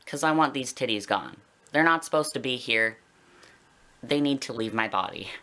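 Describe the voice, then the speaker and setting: A man's voice: medium pitch, lively intonation, normal speed, pushed and bright. A young adult man with a Canadian accent talking casually and candidly to viewers in a personal vlog, rambling a little. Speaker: video blogger.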